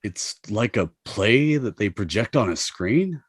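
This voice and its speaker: A man acting out a cocky finance-bro ghost at a table read. His voice sits fairly low and is recorded dry, with a boastful manner that is easily exasperated. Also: expressive, unhurried, breathy edge, no room sound.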